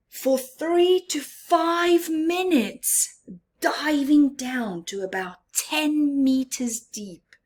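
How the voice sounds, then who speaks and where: A woman reads a children's story aloud at a very slow pace in a fairly high voice. She leans on key words with dramatic emphasis and stretches them out. Her delivery is expressive, dipping into hushed asides for young listeners. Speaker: storyteller reading a picture book to children.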